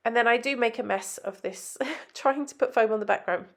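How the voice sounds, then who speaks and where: A woman's voice: a little high, brisk. A woman designer narrating a scrapbooking tutorial in a chatty, step-by-step way, sounding nasal from a head cold. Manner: chatty, explains step by step with frequent ums.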